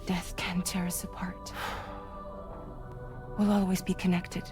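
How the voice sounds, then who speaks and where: An actress playing a devoted, earnest friend, tender and imploring with breathy phrases, in a video game cutscene. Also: female voice, fairly low pitch, level tone, slow. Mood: tender, reassuring. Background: music.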